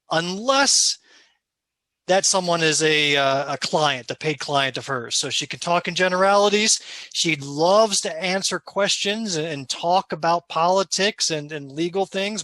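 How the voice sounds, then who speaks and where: A man from New York hosting a community Q&A, introducing and interviewing a guest in a friendly, slightly halting way. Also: a little high, bright, forceful tone, no room sound. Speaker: host, party member.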